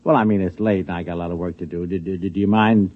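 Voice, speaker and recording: An actor in an old radio drama playing a condescending, easily flustered lab security supervisor who stammers and repeats himself. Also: male voice, low-pitched, steady tone, very fast, natural voice. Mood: dismissive, amused. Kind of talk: 1950s radio drama acting. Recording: dull vintage radio broadcast recording.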